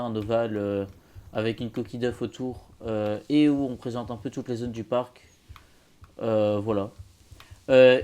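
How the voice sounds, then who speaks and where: A man with a dark, mellow voice hosts a casual video game build tour. His delivery is hesitant and halting, as he explains things piece by piece in an informal commentary style. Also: mid-range voice. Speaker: host presenting his zoo build.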